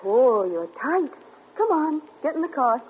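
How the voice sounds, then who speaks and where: An actress playing a charming young wife who speaks lightly and affectionately but deflects questions, in 1940s radio acting style. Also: female voice, sits high, big pitch swings, measured pace. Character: charming young wife, sweet yet evasive. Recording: tinny noisy 1940s radio broadcast.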